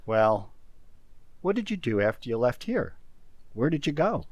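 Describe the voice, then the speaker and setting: A man playing an impatient business partner in an old-style radio drama, brisk and incredulous. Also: medium pitch, very animated, a little mellow, no room sound, highs cut off. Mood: exasperated. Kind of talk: 1940s radio drama acting.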